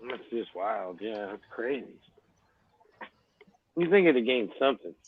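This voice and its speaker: A man's voice: on the low side, lively intonation, measured pace. A man chatting casually, making short easygoing remarks in a livestream conversation. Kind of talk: casual chat. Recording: tinny phone-like line, faint noise.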